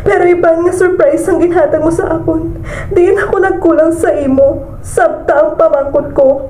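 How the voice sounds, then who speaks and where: A woman's voice: extremely high pitch, steady tone, normal speed, mellow. A woman playing a self-reliant young career woman in an amateur student radio drama. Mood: hurt, pleading. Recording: echoey room, clear background noise.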